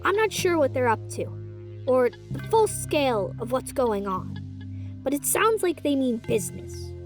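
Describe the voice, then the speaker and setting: A woman voicing a quirky male radio hobbyist living off the grid, talking casually and dropping to a hush for secrets. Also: high-pitched, sing-song, a little slow, faint music behind. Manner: casual, hushed when sharing secrets.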